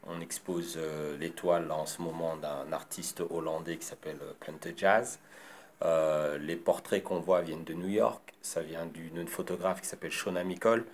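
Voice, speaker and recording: A man born in Congo and raised in France, a designer answering interview questions in a halting way with many fillers. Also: sits low, fairly even intonation.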